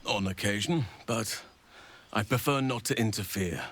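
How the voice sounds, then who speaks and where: Low-voiced, gentle old druid. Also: male voice, breathy edge. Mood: reflective, content. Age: older. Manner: measured, reflective, formal wording. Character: gentle, kindly old druid man, wise and fond of children. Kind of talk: video game character dialogue.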